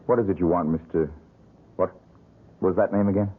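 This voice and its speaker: An actor playing a proud, bitter, down-and-out young painter in a 1970s radio drama, speaking curtly. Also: male voice, low voice, fairly even intonation, moderate pace, background noise, lightly acted. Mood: suspicious. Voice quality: bitter, curt. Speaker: struggling painter. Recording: tinny lo-fi old radio broadcast.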